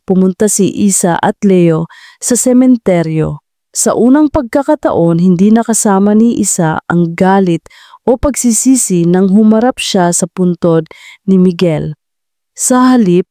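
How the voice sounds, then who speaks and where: Woman reading a dramatic love story, soft dark tone, mid pitch, super dry sound. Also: no room sound.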